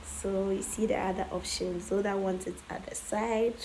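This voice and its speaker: A woman with a hoarse voice from days of coughing, walking viewers through a headwrap tutorial in a casual, chatty way and often checking in with "okay?". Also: mid-range voice, steady tone, moderate pace, a little mellow, a little hiss or hum. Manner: chatty, keeps adding "okay?". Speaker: tutorial host.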